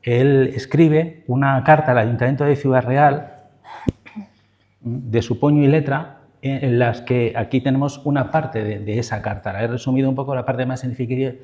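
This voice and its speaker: A man's voice: medium pitch, fairly even intonation, normal speed, darkish tone, muffled recording. A man with an accent from Spain giving a history lecture as a professor, in a discursive, semi-improvised way with asides and checking tags. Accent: Spain Spanish.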